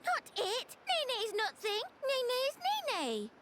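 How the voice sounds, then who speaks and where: A woman voicing a small, excitable, bossy creature girl in a video game, speaking in cute broken third-person grammar with mangled words. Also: very high-pitched, measured pace, heavy background noise. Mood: indignant. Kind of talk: video game character acting.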